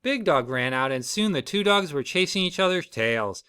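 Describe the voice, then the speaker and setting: A man's voice: on the high side, expressive, moderate pace, bright, forceful tone, clear, resonant tone, no room sound, clean studio sound. A man reading a children's storybook aloud as the storyteller.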